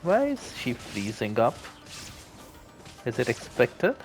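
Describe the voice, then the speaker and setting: Gameplay commentator: casual, animated intonation, quiet game music underneath. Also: medium pitch, takes it slowly. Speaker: gaming video commentator.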